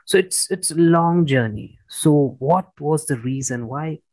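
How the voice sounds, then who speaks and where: A man's voice: fairly high pitch, animated, measured pace, darkish tone, no room sound. A man hosting a conversational interview, effusive and often repeating and rephrasing himself as he goes. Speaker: interviewer, host.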